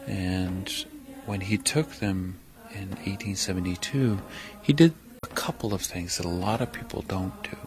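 Low-voiced guy explaining old history, stops and restarts as he thinks. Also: music in the background, low-quality recording. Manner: thoughtful, halting explanation. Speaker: interviewee. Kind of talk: documentary interview, explaining history.

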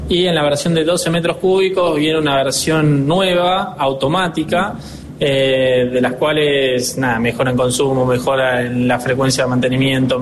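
Argentine man in his twenties, a dealership director interviewed on radio, mid-pitched voice, faint rumble behind. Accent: Argentine Spanish. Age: 20s. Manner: earnest, upbeat, with many filler hesitations.

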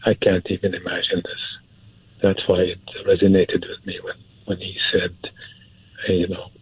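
Low husky man on a thin line. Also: completely flat delivery, normal speed, dry recording. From Syria. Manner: hesitant, repeats words for emphasis. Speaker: interview guest, film producer. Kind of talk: casual interview talk. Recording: dry, phone-like narrow band.

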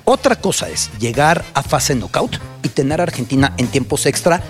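A man's voice: medium pitch, lively intonation, moderate pace, faint music behind. A man with a Mexican accent talking football on a panel, explaining and listing his points emphatically. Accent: Mexican Spanish. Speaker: football commentator on a panel show. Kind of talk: animated sports panel talk.

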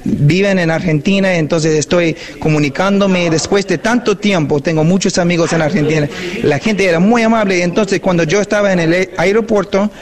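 A man's voice: high-pitched, normal speed, a crowd in the background. Earnest American man in learner's Spanish. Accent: American speaking Spanish. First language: English. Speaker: guest, former missionary. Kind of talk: live call-in chat.

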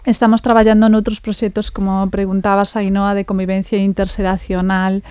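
A woman's voice: medium pitch, monotone, a little fast, darkish tone, smooth, dry recording. A woman with a Galician accent giving formal, explanatory answers as a university representative in an interview.

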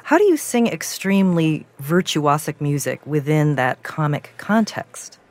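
Female broadcast host with a low voice, poised and measured delivery. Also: animated. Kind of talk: broadcast interviewing and presenting.

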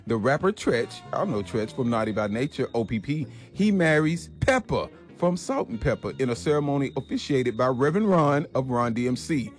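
Laughing radio co-host. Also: male voice, mid-range voice. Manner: laughing, reads history items conversationally. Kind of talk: morning radio banter.

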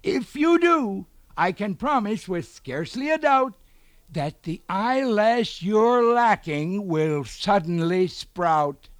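A man voicing a small, sly, quick-witted rabbit in a children's storybook, with a theatrical, persuasive delivery. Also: high voice, animated, pitched above the performer's own voice. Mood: sly, reassuring. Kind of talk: children's storybook character reading.

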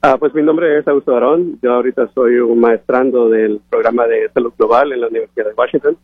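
A young man with a Honduran accent and a husky voice, answering an interview hesitantly, searching for words. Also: mid-range voice, fairly even intonation, a little fast, no room sound. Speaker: interviewed master's student. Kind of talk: unscripted radio interview answer. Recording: phone-like radio broadcast sound.

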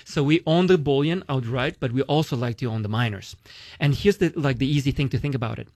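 A man's voice: medium pitch, fast, darkish tone, no echo. Romanian-accented man explaining investments. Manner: uses many "like" and "you know" fillers, explains with analogies. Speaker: investment guest. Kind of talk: casual explanatory conversation.

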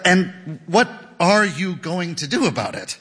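A man's voice: sits high, big pitch swings, a little slow, fairly bright tone, full character voice. A man reading the part of a hesitant, mild-mannered, restrained male technician in a story, in a reverberant hall. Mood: restrained, flustered.

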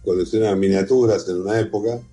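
A middle-aged Argentine man speaks in a very dark, rough and husky voice, on the low side. He answers interview questions conversationally, with music playing behind him. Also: steady tone, fast talker. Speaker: interview guest, whisky museum founder and collector.

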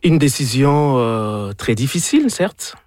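A man's voice: mid-range voice, big pitch swings, unhurried, darkish tone, dry recording. A man working as an interviewer, putting formal questions in carefully phrased sentences.

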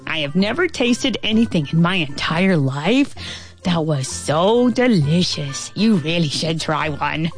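A woman voicing a cheerful, dim-witted storybook fly who rambles on in a naive, unhurried way. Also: low voice, animated, soft background music. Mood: dreamy, cheerful. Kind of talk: storybook character voice.

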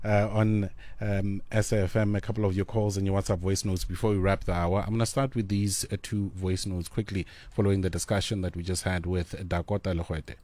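A South African man hosting a radio talk show, pausing and repeating words, then building to pointed rhetorical questions. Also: sits low, level tone, noisy background.

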